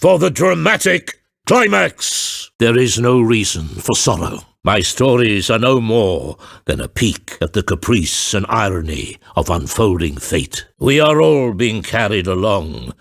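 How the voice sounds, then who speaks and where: Grave theatrical storyteller dad from a video game, husky low voice, formal and grandiose. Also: male voice, big pitch swings, unhurried, hardly any pauses, fairly bright tone, dry recording, studio quality, heavily acted. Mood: grandiose, ominous. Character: grave, theatrical father and storyteller ruling a town. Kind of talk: dramatic video game voice acting.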